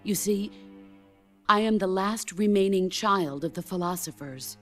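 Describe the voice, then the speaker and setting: An actress playing a stoic, battle-hardened middle-aged soldier and mentor in a game cutscene, speaking gravely and deliberately. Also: female voice, mid-range voice, fairly bright tone, close to an everyday voice. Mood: solemn, pained. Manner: measured, deliberate, grave delivery. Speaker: video game character. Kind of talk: dramatic cutscene monologue. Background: orchestral music.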